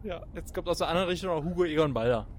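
A man's voice: a little high, lively intonation, very fast, a little hiss or hum. A man hosting a podcast in casual comic chat. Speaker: podcast host.

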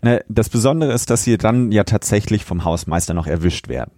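A man's voice: fairly low pitch, animated, fairly quick, dark tone, no echo, clean studio sound. A man co-hosting a podcast, casually retelling and discussing the plot of an audio drama. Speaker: podcast co-host.

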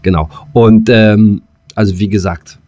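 A man's voice: lowish voice, steady tone, measured pace, warm tone, no room sound. A man chatting as a podcast co-host in a loose, rambling, hesitant way with some crude slang. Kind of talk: casual podcast chat.

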